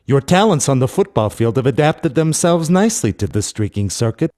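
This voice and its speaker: An actor playing a shady, smug sponsor in a video game, a man who makes calm, measured threats. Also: medium pitch, natural voice. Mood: calm menace. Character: shady male crime-boss sponsor, smug, calm and menacing. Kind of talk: video game character dialogue.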